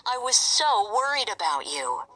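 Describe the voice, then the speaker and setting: Lady doing a brave young storybook princess, really bright and lively, music underneath. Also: a little high, measured pace, no room sound, voice pushed up, full character voice, low-quality recording. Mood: relieved. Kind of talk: read-along storybook acting.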